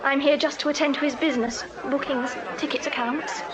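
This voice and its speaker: An actress playing a poised young Victorian music hall singer in a radio drama, formal and forthright. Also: female voice, sits high, fairly even intonation, brisk, close to an everyday voice. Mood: brisk, formal. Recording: muffled radio drama, crowd behind.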